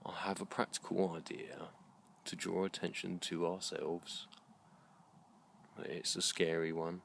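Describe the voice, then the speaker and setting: British guy, middle aged, really deep voice, thinking out loud slowly and earnestly in short halting bits, some background noise. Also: level tone, gravelly. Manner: earnest, deliberate, halting phrasing. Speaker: video blogger. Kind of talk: slow reflective monologue to camera.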